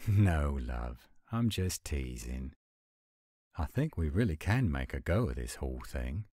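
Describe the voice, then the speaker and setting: A man acts out an affectionate werewolf boyfriend in a romantic audio roleplay. The voice is extremely low and slow, yet it swings expressively, and it sounds close and dry. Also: very animated, dark tone, husky, dry recording, heavily acted. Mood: hopeful, affectionate. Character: affectionate, gruff-but-sweet male werewolf boyfriend. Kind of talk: romantic audio roleplay, one-sided intimate dialogue.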